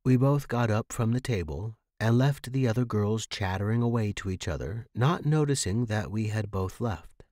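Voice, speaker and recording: A man's voice: fairly low pitch, animated, normal speed, hardly any pauses, a little mellow, dry recording, clean studio sound. A man reading a scripted first-person horror story as a podcast narrator.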